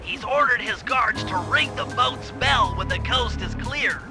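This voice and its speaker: A very high, nasal cartoon brainiac of a turtle, cautious and scholarly. He lays out game missions in precise, wordy sentences, with music playing behind him. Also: a little slow, vocal fry, full character voice. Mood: instructive. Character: nerdy, cautious male turtle brainiac, young gadget-minded strategist. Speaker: mission planner and guide. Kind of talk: video game cutscene and tutorial voice acting.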